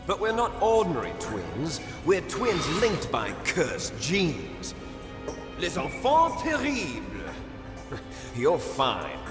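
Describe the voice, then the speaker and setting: A man's voice: fairly high pitch, huge pitch swings, slow pace, heavily acted. A man playing a bitter, grandiose twin-brother villain in a video game, theatrical and mocking. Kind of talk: dramatic video game voice acting. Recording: echoey reverberant hall under game music.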